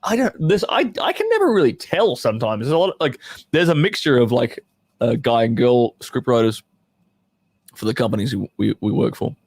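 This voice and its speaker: A man hosts a casual livestream with a creaky, gravelly edge to his medium-pitched voice. He rambles and jokes with loose, profane phrasing as he talks to his chat. The sound is dry, with very little room in it. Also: sing-song, fairly quick, darkish tone, no room sound. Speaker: adult film performer hosting a livestream.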